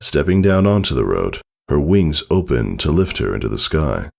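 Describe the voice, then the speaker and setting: A man narrating a scripted story dramatically, in a solemn and measured manner. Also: rumbling low, sing-song, creaky. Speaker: fan fiction narrator. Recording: soft music behind the voice.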